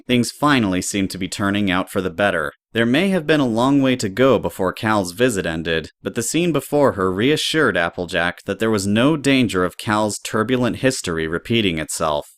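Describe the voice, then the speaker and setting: A woman's voice: rumbling low, expressive, moderate pace, hardly any pauses, no echo, clean studio sound. A woman narrator reading scripted story prose for an audio drama.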